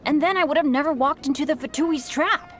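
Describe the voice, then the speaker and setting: Eager, bright-voiced young adventurer boy. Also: high voice, brisk, faint music behind. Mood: grateful, sheepish. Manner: earnest, eager, apologetic. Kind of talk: video game voice acting.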